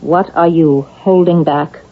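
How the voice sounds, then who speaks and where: A woman acting in a vintage radio drama as an insistent psychiatrist, measured and deliberate as she questions a suspect. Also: low voice, fairly even intonation. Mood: pressing, analytical. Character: probing, insistent psychiatrist questioning an accused killer. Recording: dull old radio drama recording.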